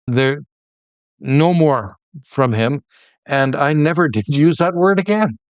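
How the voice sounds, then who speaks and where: A middle-aged Canadian man, a former professor, telling personal stories in a relaxed conversation with many restarts. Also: fairly high pitch, slow pace, no room sound, highs cut off. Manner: reflective storyteller, many restarts. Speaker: podcast co-host, former professor. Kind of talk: casual conversation, storytelling.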